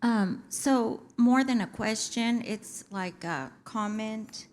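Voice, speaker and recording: Slow, hesitant woman asking a question. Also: fairly high pitch. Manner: hesitant questioner with many fillers. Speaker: audience member.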